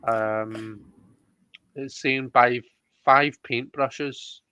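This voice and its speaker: A man's voice: mid-range voice, steady tone, extremely slow, bright, forceful tone, clean tone, no room sound, muffled recording. A man hosting a live tarot reading in a chatty, jokey way, reading out viewer comments as he goes. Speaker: host of a livestream tarot reading. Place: indoors, online livestream.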